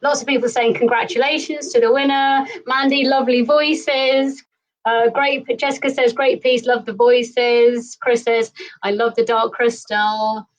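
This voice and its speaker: Female host, fairly high voice, upbeat and giggly. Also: level tone, measured pace, far from the mic, poor audio. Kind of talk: live stream hosting. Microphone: video call.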